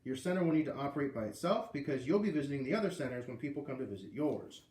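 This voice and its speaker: A man's voice: mid-range voice, lively intonation, racing, a little mellow, reverberant. A man with an American accent reading a children's book aloud, with casual asides. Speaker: read-aloud reader.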